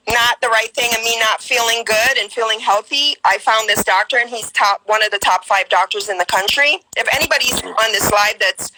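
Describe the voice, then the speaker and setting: A woman in her forties with a Guyanese accent speaks earnestly, pausing and restarting as she shares a personal story on a live stream call. Her voice is bright and sits a little high. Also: a little hiss or hum, low-quality recording. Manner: earnest, hesitant, many ums and restarts. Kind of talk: casual personal testimony.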